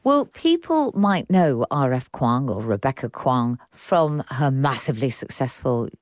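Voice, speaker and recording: Female host, very low voice, wide pitch swings, dry studio. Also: sing-song, a little slow, darkish tone, no room sound. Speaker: radio show host. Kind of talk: radio arts show hosting.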